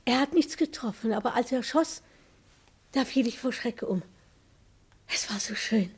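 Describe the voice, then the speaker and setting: High-voiced, timid, sentimental widow. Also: female voice, moderate pace, vocal fry, a little mellow, breathy edge, heavily acted. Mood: wistful, devoted. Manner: plaintive, submissive, hesitant. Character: timid, devoted, sentimental widow, naive and easily frightened. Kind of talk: German radio play acting.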